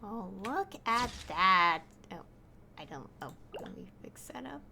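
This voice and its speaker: A woman streamer chatting casually through a game, easily delighted and quick to laugh. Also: mid-range voice, sing-song, creaky, bright, forceful tone, a little hiss or hum. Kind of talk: casual gameplay commentary.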